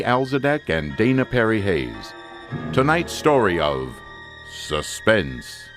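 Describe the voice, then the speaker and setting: A man announces radio drama credits in a theatrical, old-time style. His voice is fairly low, with measured phrasing. Music plays clearly behind him. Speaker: announcer reading closing credits.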